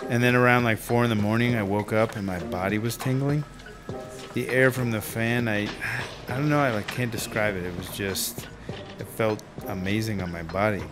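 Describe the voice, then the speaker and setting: An actor playing a laid-back slacker screenwriter in telesales, rambling and slangy in a radio drama. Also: male voice, on the low side, fairly even intonation, moderate pace, natural voice. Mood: casual, rambling. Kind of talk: naturalistic radio drama acting. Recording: music under the dialogue.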